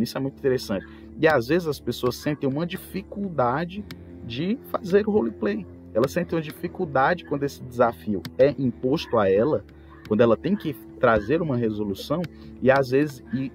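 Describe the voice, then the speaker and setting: A man's voice: mid-range voice, lively intonation, brisk, a little mellow. Brazilian man telling stories over music. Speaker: podcast host. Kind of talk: casual anecdotal talk about tabletop role-playing. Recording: music bed behind the voice. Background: background music.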